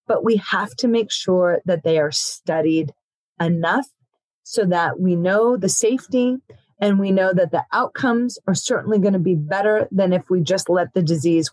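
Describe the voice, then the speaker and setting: Woman physician guest, steady explanatory delivery, mid-pitched, dry close sound. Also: no room sound, rough recording. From born in Ethiopia, raised in Africa. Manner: explanatory, steady, frequent "you know". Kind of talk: expert explaining in an interview.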